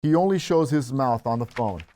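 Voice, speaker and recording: Male narrator for screenplay table read, medium pitch, reads scene directions. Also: animated, normal speed, warm tone.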